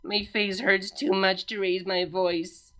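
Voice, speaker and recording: A woman's voice: mid-range voice, soft background music, rough recording. A woman doing a droning pained pony princess. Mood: monotone misery. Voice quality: slow, droning, flat complaining.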